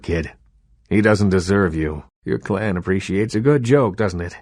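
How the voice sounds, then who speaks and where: An actor playing a proud, old-fashioned vampire baron in a video game, speaking with measured, theatrical, world-weary authority. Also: male voice, lowish voice, darkish tone, dry recording, studio quality. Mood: wry, commanding. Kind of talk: scripted video game dialogue.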